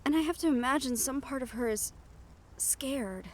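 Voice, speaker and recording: A woman playing a gentle, teasing but worried young siren in an audio roleplay, speaking softly and intimately to the listener. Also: high voice, moderate pace, a little hiss or hum, natural voice. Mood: anxious, troubled.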